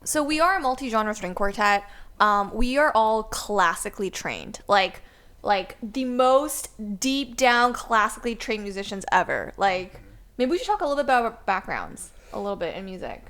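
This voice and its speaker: A woman's voice: mid-range voice, expressive, normal speed, a bit bright. A young woman hosting a casual podcast with her string quartet, upbeat and chatty, leaning on filler words as she talks. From Canada. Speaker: podcast host, first violinist.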